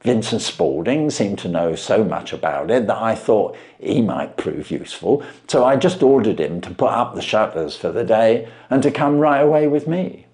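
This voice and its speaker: Man acting an earnest, lively Victorian London shopkeeper. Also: fairly low pitch, moderate pace, few pauses. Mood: earnest, plaintive. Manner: wordy, respectful storytelling. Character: stay-at-home London pawnbroker, earnest, long-winded widower.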